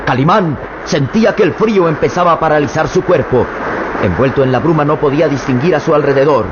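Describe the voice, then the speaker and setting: A man with a Mexican accent tells the story of a radio serial in a measured, dramatic way, his voice slightly high. The old recording sounds muffled with steady noise behind him. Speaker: narrator. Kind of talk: scripted radio drama narration.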